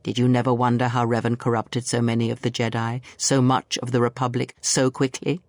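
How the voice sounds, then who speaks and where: An older woman playing a bitter, contemptuous old Sith master in a video game, speaking in a theatrical, deliberate, preaching way. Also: extremely low pitch, level tone, dry recording. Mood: scornful, intense. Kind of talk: dramatic video game monologue. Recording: music behind. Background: music.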